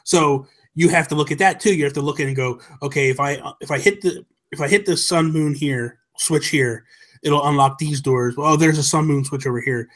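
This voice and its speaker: Higher-pitched man chatting casually. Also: fairly quick, a bit bright. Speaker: podcast co-host. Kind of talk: casual chat about video games. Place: small room at home. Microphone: desk microphone on a video call, headphones.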